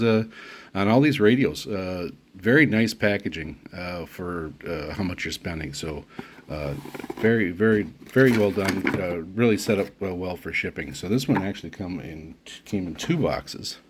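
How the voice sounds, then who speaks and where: Very deep-voiced man, halting delivery. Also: lively intonation, slight background noise. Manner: halting, pauses often mid-phrase. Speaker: product reviewer. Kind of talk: unscripted unboxing commentary.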